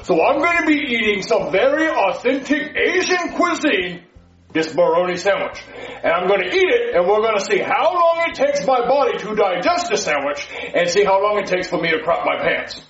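A man's voice: sits high, sing-song, moderate pace, a bit bright. A man playing a stern, vengeful and comically grandiose action-hero teacher, declaiming dramatically in a parody skit. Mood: matter-of-fact. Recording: roomy echo, dull lo-fi sound.

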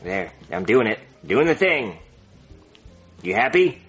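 A man's voice: on the low side, very animated, slow pace, fairly bright tone, faint music behind, heavily acted. A man playing a dry, reluctant young friend in a comedy skit, resigned and mumbly.

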